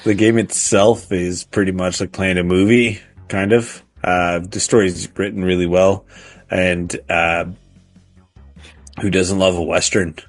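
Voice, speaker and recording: Deep voiced guy chatting on a gaming podcast, kinda hesitant and halting. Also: steady tone, faint music behind. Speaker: podcast co-host. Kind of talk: casual chat about video games.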